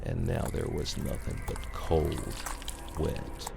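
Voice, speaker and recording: Casting: male narrator for a scary tale, slow, gravelly, theatrical and ominous. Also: extremely low pitch, level tone. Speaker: storyteller. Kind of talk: dramatic scripted horror narration. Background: music.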